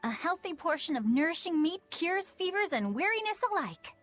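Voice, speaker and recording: An actress playing a caring, earnest teenage village huntress in an anime-style video game. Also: female voice, extremely high pitch, very animated, normal speed, a bit bright, faint music behind, heavily acted. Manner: earnest, determined. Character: caring, spirited teenage village girl, a hunter.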